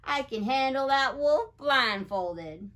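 Lady doing a cocky blustering storybook guy, high voice, really swoopy and over the top. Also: lively intonation, slow pace, fairly bright tone, heavily acted. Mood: boastful. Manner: cocky, exaggerated. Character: boastful, blustering male storybook friend, Big Jack.

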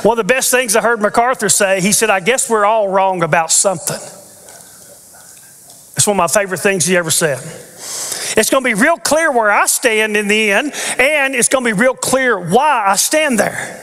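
Bright-voiced older man preaching. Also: high voice, expressive, normal speed. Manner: earnest teaching with playful asides. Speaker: pastor. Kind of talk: sermon. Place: large church stage with audience. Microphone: headset mic. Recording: long reverb of a large church hall.

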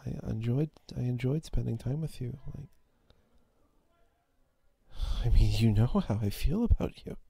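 A man playing a quiet, obsessive, possessive schoolboy in an ASMR roleplay, halting and breathy. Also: medium pitch, no echo. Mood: hesitant, hurt. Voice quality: husky, breathy. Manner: halting, stammering, brooding. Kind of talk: ASMR roleplay, intimate acted monologue.

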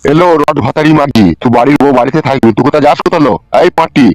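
Man playing a fast, blustering angry village husband. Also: on the high side, darkish tone, soft background music, lightly acted, rough recording. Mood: enraged. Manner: scolding, abusive, blustering. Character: angry, foul-mouthed suspicious village husband. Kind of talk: comedy cartoon dialogue.

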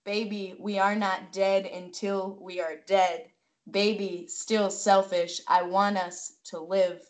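A woman reading poetry aloud in a deliberate, measured way on a video call from home. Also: mid-range voice, level tone. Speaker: poetry reader.

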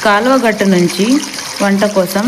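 A woman's voice: mid-range voice, steady tone, moderate pace. A woman reading a scripted children's story as narrator, recorded with noise behind her.

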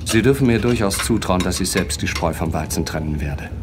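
An actor playing a polite, curious traveller with a courteous, slightly hesitant manner in an audio drama. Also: male voice, low voice, expressive, brisk, background noise. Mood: puzzled, inquiring. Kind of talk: German audio drama dialogue.

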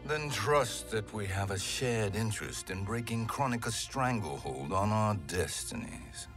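An older actor playing a cunning, proud old sorcerer in a video game, speaking in a measured, theatrical way. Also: male voice, on the low side, animated, over music. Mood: coolly calculating. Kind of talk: video game cutscene acting.

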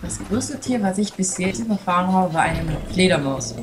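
A woman's voice: lowish voice, lively intonation, moderate pace. A woman co-hosting a game stream in German, which is not her first language, joking and reading out viewer questions. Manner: joking, playful interjections. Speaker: co-host reading chat. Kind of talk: casual gaming livestream chat. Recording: game music clearly behind.

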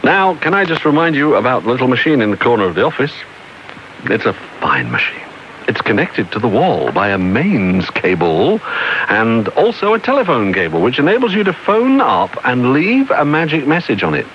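A man's voice: mid-range voice, wildly swooping pitch, moderate pace. A British man presenting a radio request show in a jokey, teasing, bouncy way. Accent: British English. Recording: muffled taped shortwave broadcast with faint hiss.

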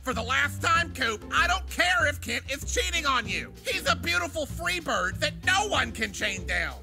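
Cartoon schoolteacher, male: very high, gravelly, theatrical, fed up and scolding. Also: very animated, normal speed, bright, forceful tone, faint music behind, exaggerated voice. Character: exasperated mustached male high school teacher, easily smitten. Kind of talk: animated comedy game acting.

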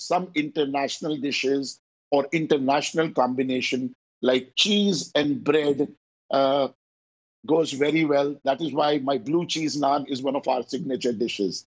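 A middle-aged man with an Indian accent, a chef answering interview questions over a video call in an earnest, halting way. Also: fairly high pitch, breathy edge. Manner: earnest, searching for words, halting. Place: indoor room at home. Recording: muffled video call audio.

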